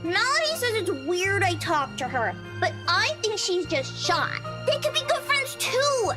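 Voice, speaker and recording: A woman's voice: very high-pitched, very animated, measured pace, exaggerated voice. A woman voicing a cheerful, innocent little girl in a visual novel game, chatty and earnest in a childlike way. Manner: childlike, sing-song, earnest. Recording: music and jingles behind.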